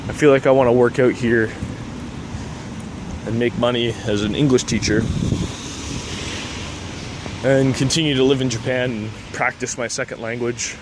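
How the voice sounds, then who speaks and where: A man's voice: mid-range voice, steady tone, normal speed, long pauses. A young man from Canada talking casually while walking outdoors, rambling and laughing often. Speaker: vlogger. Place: city street outdoors. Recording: outdoor street noise. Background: street traffic.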